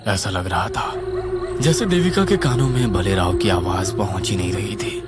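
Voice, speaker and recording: A man narrating a scripted horror tale in a dramatic, suspenseful and expressive style. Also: sits low, moderate pace. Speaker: storyteller, narrator. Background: music.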